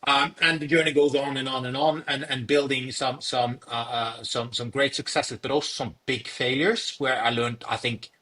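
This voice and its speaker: A young man from Norway answers questions about his startup in a bright, forceful voice. He speaks in a halting way and keeps repeating words and filling pauses. His pitch sits in the middle for a man. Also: fairly even intonation. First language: Norwegian. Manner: hesitant, many fillers and repeated words. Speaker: startup founder. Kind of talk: interview answers.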